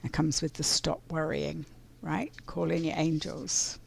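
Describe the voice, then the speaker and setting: A woman with a scratchy voice from pollen, doing a casual oracle card reading in an unhurried, reflective way. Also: low-pitched, flat delivery, vocal fry, breathy edge. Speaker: spiritual card reader.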